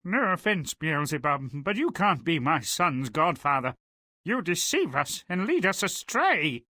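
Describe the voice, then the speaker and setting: A man's voice: on the high side, very animated, measured pace, sharp tone, no room sound, clean studio sound, heavily acted. A man playing a brash, hapless poor father in a fairy-tale video game, whining and theatrical. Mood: blunt.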